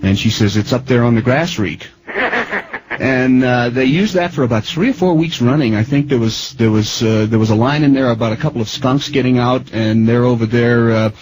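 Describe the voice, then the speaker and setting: A man's voice: mid-range voice, moderate pace, darkish tone, poor audio. A man chatting casually as the interviewer on a radio show.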